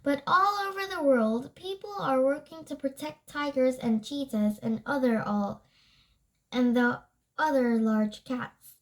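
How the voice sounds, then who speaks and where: Kid reading a book out loud, really high voice, slow, trips on words and goes back. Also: low-quality recording. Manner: halting, stumbles and rereads words. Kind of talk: reading a children's nonfiction book aloud.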